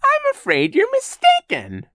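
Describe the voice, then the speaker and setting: A man voicing an evil villain who poses as a sugary female receptionist, in a ridiculously high falsetto with a drawn-out, theatrical delivery. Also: huge pitch swings, sharp tone, dry recording, clean studio sound, exaggerated voice. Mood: mock-sweet. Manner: mock-sweet, drawn-out, theatrical.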